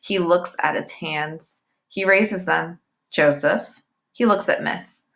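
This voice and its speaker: A woman reading a children's novel aloud in deliberate, simple phrases, as if telling it to young listeners. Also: lowish voice, creaky, a bit bright. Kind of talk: read-aloud storytelling with short asides to listeners.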